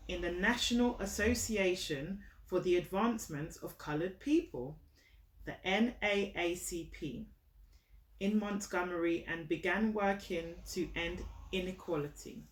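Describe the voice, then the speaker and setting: A woman reading a children's picture book aloud to her child, teacherly, explaining as she goes and checking understanding with questions. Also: fairly low pitch. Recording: roomy echo, distant from the mic.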